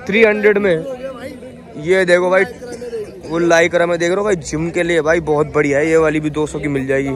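A young man vlogging from a street market on his phone, chatty and excited, then talking at home. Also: fairly high pitch, brisk, crowd noise. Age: young adult. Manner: excited, chatty, keeps saying "bhai". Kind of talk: casual market vlog. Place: crowded outdoor street market, later a bedroom. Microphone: phone held at arm's length.

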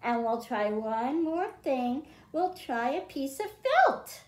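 A middle-aged woman with a slightly high voice leads a storytime for young children. She goes slowly, in simple and deliberate phrases. The indoor room around her adds a clear echo. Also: animated. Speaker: children's librarian, storytime host. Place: small library room with bookshelves, indoors. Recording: roomy, echoing indoor sound.

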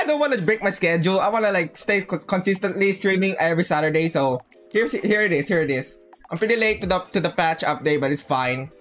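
High-voiced man streaming, excitable and slangy. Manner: excitable, slangy, repeats words and phrases. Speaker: livestreamer. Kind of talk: casual gaming livestream chat.

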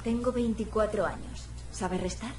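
An actress playing a sharp-tongued, skeptical young woman in a video game, with a clipped, sarcastic manner. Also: mid-range voice, normal speed, distant mic, natural voice. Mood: curt. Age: young adult. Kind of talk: video game dialogue acting. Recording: echoey room, music behind.

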